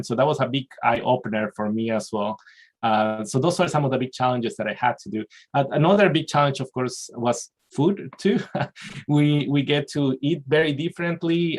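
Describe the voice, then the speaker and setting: Panelist: man, unhurried and halting, middle pitch, over a video call. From Peru. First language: Spanish. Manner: halting and reflective, with light laughs. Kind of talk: casual panel discussion.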